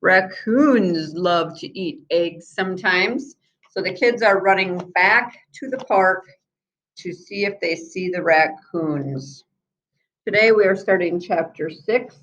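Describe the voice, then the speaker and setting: Slow-paced woman reading a children's story aloud. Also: fairly low pitch, lo-fi sound. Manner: expressive, acts out the children's dialogue. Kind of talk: children's book read-aloud with asides.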